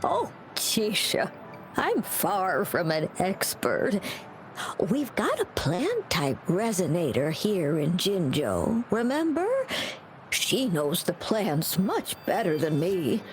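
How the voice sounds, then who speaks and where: Slow, expressive old grandmother. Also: lowish voice. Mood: fond, helpful. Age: older. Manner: affectionate, unhurried storytelling. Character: kindly old grandmother florist, doting and modest. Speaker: flower shop keeper. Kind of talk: scripted game dialogue. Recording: music behind the voice.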